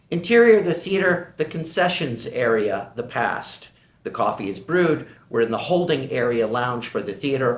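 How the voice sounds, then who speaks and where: A male narrator reads scripted stage directions. His voice sits at a medium pitch and has the roomy echo of a table-read recording.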